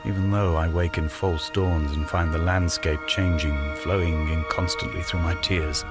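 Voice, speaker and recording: An actor playing a lonely, injured castaway who narrates a game in grave, measured, poetic monologue. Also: male voice, sits low, fairly even intonation, close to an everyday voice. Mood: haunted. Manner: solemn, measured, literary phrasing. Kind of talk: scripted dramatic monologue, literary narration. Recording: loud music behind the voice. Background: music.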